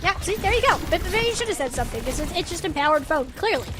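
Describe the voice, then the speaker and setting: A woman with a strikingly high voice calls an esports match live. She is excitable and colloquial, dropping playful interjections into her play-by-play. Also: quick pace, over music.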